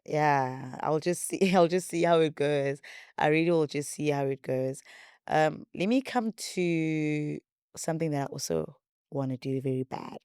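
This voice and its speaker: A young South African woman with a deep, warm, mellow voice, chatting to her listeners in a rambling, repetitive way. Her voice is recorded dry and close, with no room sound. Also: unhurried, clean tone, no room sound. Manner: rambling, repetitive, chatty, says she talks a lot. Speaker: podcast host. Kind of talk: casual chat to viewers.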